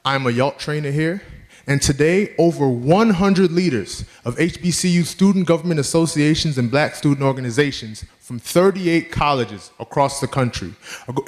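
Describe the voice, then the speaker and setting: A man, a leadership trainer, giving an earnest prepared address that reads out statistics and lists. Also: fairly high pitch. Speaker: youth leadership trainer and presenter.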